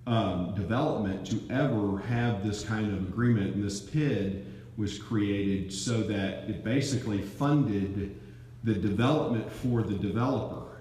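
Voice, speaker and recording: A low-pitched man explains firmly from far away. Heavy echo and a long reverb from the hall surround his voice. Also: a little slow, a little mellow. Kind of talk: meeting discussion. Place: council meeting room. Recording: distant, echoey hall.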